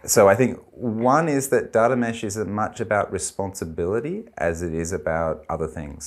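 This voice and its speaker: Deep-voiced Australian man explaining calmly. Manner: thoughtful, measured explaining with fillers. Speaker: head of technology at a consultancy. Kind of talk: conference interview chat.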